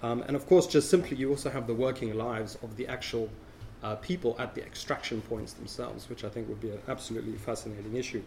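Man w a bit of a crackly voice from a cold, lecturing calmly at a panel, faint hiss behind. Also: medium pitch, vocal fry. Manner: measured, explanatory lecturing. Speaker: panel discussant, anthropologist. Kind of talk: academic panel talk.

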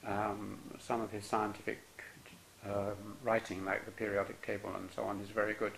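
A male interviewer asking questions politely and hesitantly, with many ums and soft acknowledgements. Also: on the low side, flat delivery, moderate pace, creaky, a little hiss or hum, poor audio. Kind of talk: oral history interview.

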